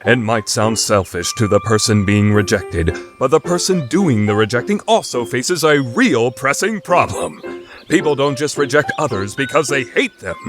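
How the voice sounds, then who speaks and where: A man's voice: mid-range voice, animated, moderate pace, over music, lightly acted. A man playing a dramatic, all-knowing narrator in an anime fan radio play, with declarative delivery.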